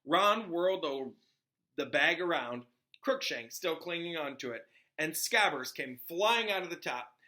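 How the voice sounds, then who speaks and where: A man reading a children's book aloud as a teacher, with expressive narration aimed at young listeners. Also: on the high side, measured pace. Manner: explains hard words in simpler terms.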